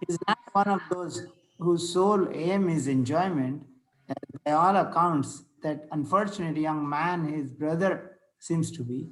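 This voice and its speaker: A man's voice: on the high side, a little slow, natural voice, low-quality recording. A man reading the part of a courtly, scholarly rector in a Victorian comedy table read, formal and a little hesitant. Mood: politely flustered.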